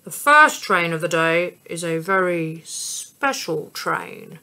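A woman voicing a proud, mysterious railway ticket man who speaks precisely and drops to a hush, a little away from the mic. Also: lowish voice, expressive, takes it slowly, clean tone, full character voice. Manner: precise, then hushed and whispering.

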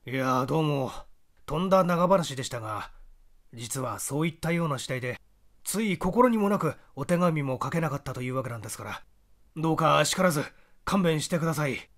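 A man performs an earnest young amateur sleuth in a mystery audiobook. His voice sits a little high as he mutters and deliberates, puzzling things through aloud. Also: animated, a bit bright. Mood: apologetic. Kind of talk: dramatised audiobook character reading.

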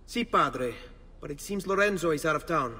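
Cocky young Italian charmer, lively pitch. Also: male voice, normal speed, slightly rough, full character voice. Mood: respectful. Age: young adult. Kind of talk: video game character acting.

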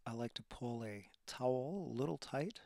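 A man leading a guided relaxation as a yoga teacher, speaking calmly and softly with breathy phrases and long pauses. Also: mid-range voice, no echo. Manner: calm, soothing instruction.

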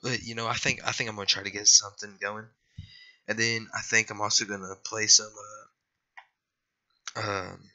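A man's voice: on the low side, flat delivery, moderate pace, pauses often, pushed and bright, lo-fi sound. A young man with a scratchy voice after being sick, rambling and apologizing through casual gameplay commentary. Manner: rambling, apologetic, lots of fillers. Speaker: gaming youtuber.

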